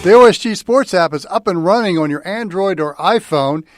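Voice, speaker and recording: American man, sports show host, fairly high voice, dry recording, round-up delivery. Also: lively intonation, normal speed, dry recording, soft background music. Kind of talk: sports round-up, partly scripted narration.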